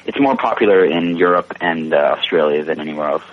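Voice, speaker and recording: A seventeen-year-old Canadian man answering casual interview questions politely, pausing as he thinks through his phrasing. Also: deep voice, phone-quality sound. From Toronto, Canada. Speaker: interview guest, young actor.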